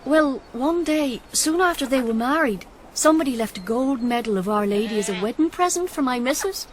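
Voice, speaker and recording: A plain-spoken camp follower in radio drama dialogue, with a very high voice and a familiar, folksy manner. There is slight noise on the old recording. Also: female voice, expressive, brisk, poor audio. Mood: troubled.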